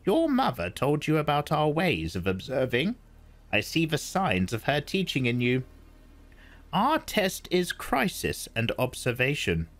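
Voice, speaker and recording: Stern old woman, probing and scornful, slow and lifted high; male narrator doing the voice. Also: huge pitch swings, a bit bright, exaggerated voice. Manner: imperious, clipped, probing. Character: stern old woman, commanding Bene Gesserit elder.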